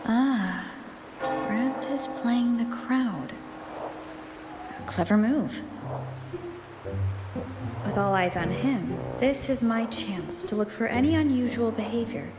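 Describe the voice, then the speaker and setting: An actress playing a calm, observant companion in a video game, with a measured, musing delivery. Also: female voice, a little high. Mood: thoughtful. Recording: echoey, music behind the voice.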